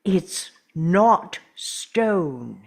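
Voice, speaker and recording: An actor in a script table read playing an urgent, stubborn, protective time traveller, speaking earnestly and deliberately. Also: medium pitch, very animated, vocal fry, heavily acted. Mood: desperate, stubborn.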